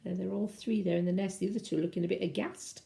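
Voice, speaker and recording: A woman's voice: lowish voice, steady tone, fast, creaky, dark tone, echoey room. A woman reading a children's storybook aloud expressively, in a sing-song way, with asides to young listeners.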